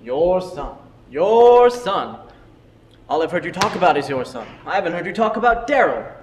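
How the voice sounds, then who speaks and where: Earnest male history teacher played by a man, stage drama, very animated delivery, reverberant hall with faint noise. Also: high voice, moderate pace. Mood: insistent. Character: earnest, opinionated male history teacher defending his methods. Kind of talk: amateur stage drama acting.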